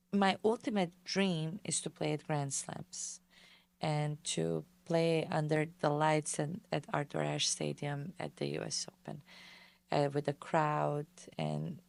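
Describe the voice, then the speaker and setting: A woman's voice: low voice, vocal fry, a little mellow, no echo. A woman from Bosnia and Herzegovina, hosting a casual solo question-and-answer podcast in a chatty way, full of fillers. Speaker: podcast host and tennis pro.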